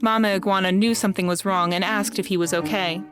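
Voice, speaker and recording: A woman's voice: mid-range voice, fairly even intonation, quick pace. A woman reading scripted narration for a children's read-along storybook. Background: music.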